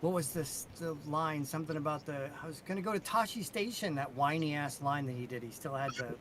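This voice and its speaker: A man with a moderately high voice talks as a podcast panelist. He is casual and enthusiastic, landing short emphatic points. A faint rumble runs underneath. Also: a little fast, a bit bright. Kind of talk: casual fan chat.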